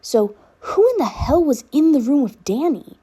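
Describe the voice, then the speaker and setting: A woman's voice: high-pitched, very animated, moderate pace, mellow. A woman reading fan fiction aloud as an amateur narrator. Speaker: podfic reader and narrator.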